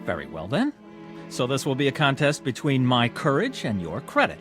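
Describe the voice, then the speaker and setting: A proud, bitter clubman, lively delivery. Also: mid-range voice, normal speed, music in the background. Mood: cool, defiant. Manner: formal, theatrical, wordy. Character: proud, debt-ridden clubman, wryly bitter and defiant. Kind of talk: modern audio drama acting.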